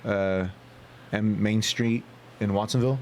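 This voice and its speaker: A man's voice: fairly low pitch, fairly even intonation, a little slow, slight background noise. A man hosting a podcast in casual, slangy, sweary banter with friends. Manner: slangy, swearing, repeats "you know what I'm saying". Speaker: podcast host.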